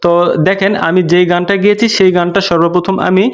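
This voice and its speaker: A man's voice: high-pitched, flat delivery, fast talker, dull audio. A man narrating a step-by-step app tutorial in a repetitive, instructional way. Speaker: tutorial host.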